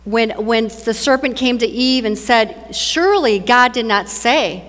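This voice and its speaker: A soft-voiced woman from northern India giving an earnest testimony sermon, repeating phrases for emphasis. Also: on the high side, unhurried. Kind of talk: sermon and personal testimony to an audience. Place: large church stage, indoors. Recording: long hall reverb.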